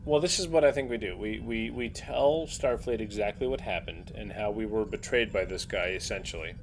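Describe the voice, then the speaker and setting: A man's voice: fairly low pitch, brisk. A man chatting casually as a player in a tabletop role-playing game. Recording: clearly noisy background.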